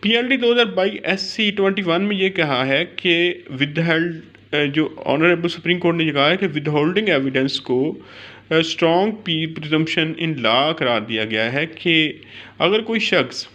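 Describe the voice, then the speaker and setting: A man with a Pakistani accent giving an explanatory law lecture, mixing in English terms, in a halting, repetitive way with filler phrases. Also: on the high side, pushed and bright, lo-fi sound.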